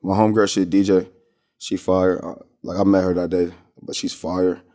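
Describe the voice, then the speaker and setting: Man, American, low voice, podcast guest, casual, slangy storytelling. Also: level tone, normal speed, dark tone. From Minnesota, United States. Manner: slangy, informal, rambling storytelling. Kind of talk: casual interview chat.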